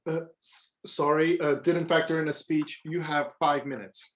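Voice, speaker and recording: A man's voice: a little high, little pitch movement, normal speed, a bit bright, close to an everyday voice. Man playing a hesitant young male assistant. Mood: hesitant, earnest. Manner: hesitant, deferential. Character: put-upon assistant in his twenties, earnest lackey. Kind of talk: screenplay table read, comedic acting.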